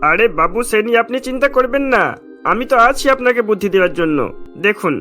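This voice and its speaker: A man's voice: sits high, expressive, a little fast, bright, forceful tone, faint music behind. A man voicing a sly estate manager in a cartoon, fawning to his master and insinuating in manner. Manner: ingratiating, insinuating. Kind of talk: Bengali cartoon story dialogue.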